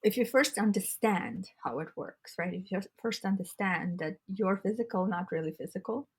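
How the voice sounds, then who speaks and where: A woman co-hosts a relaxed spiritual podcast in a husky, raspy voice that sounds congested in the throat. She talks earnestly and often circles back to restart her phrases. Her pitch sits in a middle range. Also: moderate pace. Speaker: podcast co-host. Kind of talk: casual spiritual chat.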